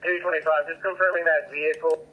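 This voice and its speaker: A man's voice: fairly high pitch, little pitch movement, fast talker. A man, a police officer, talking casually over a two-way radio. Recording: tinny police scanner radio.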